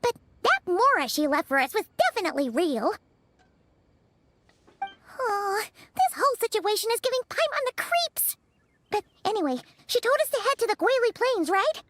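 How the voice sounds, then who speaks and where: A woman voicing a tiny floating female companion sprite, chirpy and excitable, who talks about herself in the third person. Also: very high voice, normal speed, background noise. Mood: uneasy. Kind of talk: game voice acting.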